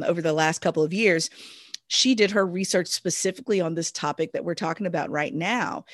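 A woman's voice: fairly low pitch, no room sound, clean studio sound. A woman co-hosting a casual conversation, thoughtful and questioning, talking things through. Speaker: podcast co-host.

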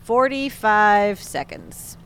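Mischievous older matriarch, audio drama: wry, theatrical, bossy, highly animated intonation. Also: female voice, slow, vocal fry, background noise, heavily acted. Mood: teasing, imperious. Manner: imperious, teasing, theatrically wry. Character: feisty, mischievous older mother running a boarding house. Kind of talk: fiction podcast acting.

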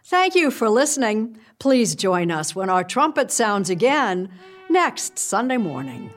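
A woman hosts a broadcast and speaks slowly, with a lively, rising and falling pitch. Her tone is gentle and inviting. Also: over music. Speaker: show host. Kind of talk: broadcast host links.

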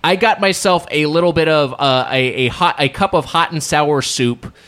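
A man's voice: fairly high pitch, moderate pace, fairly bright tone, clear, resonant tone. A man in his late thirties with an American accent, co-hosting a casual comedic food chat in a wordy, detailed, descriptive way. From Long Beach, California, United States. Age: late 30s. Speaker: podcast co-host.

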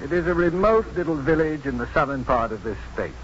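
A man's voice: fairly high pitch, lively intonation, fairly quick, lightly acted. Proud maverick physician played by a male actor, formal and sardonic, 1950s radio play, dull hissy recording. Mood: dryly unruffled. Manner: formal, precise, dryly sardonic. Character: proud unconventional male doctor, coolly defiant and dryly witty. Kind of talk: 1950s radio drama acting.

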